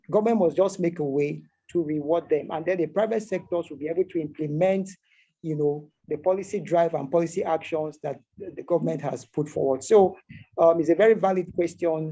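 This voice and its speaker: A man's voice: a little high, dark tone. Man speaking over dull video-call audio. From Nigeria. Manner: measured, repeats "you know" as filler. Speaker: NGO leader. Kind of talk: answering a question in an online meeting.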